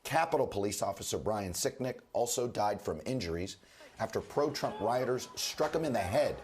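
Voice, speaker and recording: Hoarse male news anchor. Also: on the low side, animated, a little mellow. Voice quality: rough, hoarse voice. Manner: measured, formal newsreader delivery. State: under the weather. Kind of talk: broadcast news report and interview.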